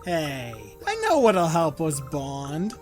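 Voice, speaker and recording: An actor doing a slow, goofy skeleton prankster. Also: female voice, deep voice, wildly swooping pitch, soft background music, full character voice. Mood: playful, teasing. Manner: easygoing, joking, drawling. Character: playful, macabre, slow-witted male skeleton prankster. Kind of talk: audio drama acting.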